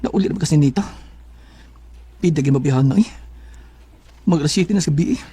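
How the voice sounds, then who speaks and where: A man's voice: on the high side, quick pace, noisy background, natural voice. An actor playing a jealous, nagging young man in a Cebuano Bisaya radio drama. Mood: sullen, worried. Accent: Cebuano Bisaya, Philippines.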